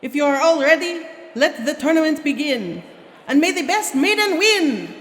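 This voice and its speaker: A woman's voice: high voice, animated, moderate pace, a bit bright, slight background noise. A woman playing a protective, commanding Amazon queen in a stately, formal style of 1940s radio serial acting. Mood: commanding, proclaiming.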